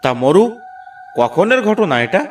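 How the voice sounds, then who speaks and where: A man's voice: high voice, big pitch swings, unhurried, distant mic, faint music behind. A man voicing a dutiful, stubborn village husband in a cartoon, speaking firmly and earnestly. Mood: grave. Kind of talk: cartoon voice acting.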